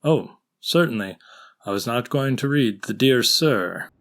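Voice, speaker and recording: A formal 1890s prosecutor acted by a man, with a medium-pitched voice. He reads documents aloud in a deliberate, orderly courtroom manner for a dramatized trial. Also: animated, a bit bright. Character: male prosecuting attorney reading a letter, formal. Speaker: actor reading a lawyer's part.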